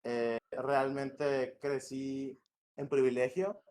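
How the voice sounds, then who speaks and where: A man from northwest Mexico with a northern Mexican accent, a game director talking as a podcast guest in a relaxed, slangy, rambling way. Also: mid-range voice, extremely slow. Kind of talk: casual podcast interview. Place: home in Morelia.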